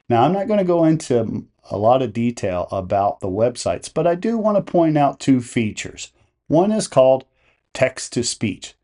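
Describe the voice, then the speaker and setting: Male how-to narrator: mid-range, mellow voice, measured instructional delivery. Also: medium pitch. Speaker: tutorial host.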